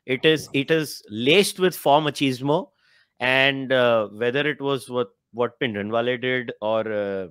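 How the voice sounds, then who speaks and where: A man's voice: mid-range voice, a little slow, a bit bright, clean tone, dry recording. A young man with an Indian accent, a podcast host who states blunt opinions and reads book passages aloud, mixing English and Hindi. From Mumbai, India. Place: room with bookshelves. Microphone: headphones and studio mic.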